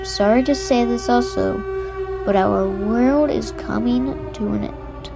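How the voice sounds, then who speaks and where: A woman playing a solemn emergency broadcast announcer, speaking gravely and haltingly as she delivers doomsday warnings. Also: fairly high pitch, over music.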